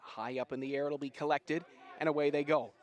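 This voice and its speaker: High-voiced man calling live rugby. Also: fairly quick. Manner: excitable, builds to shouts at big plays. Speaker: play-by-play commentator. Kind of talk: live rugby sevens commentary.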